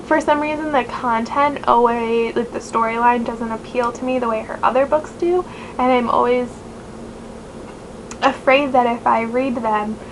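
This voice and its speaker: Young woman, nasal, chatty book vlogger; higher voice, hiss on the recording. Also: steady tone, normal speed. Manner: chatty, rambling, many fillers. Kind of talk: casual chat to camera. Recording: noisy home recording.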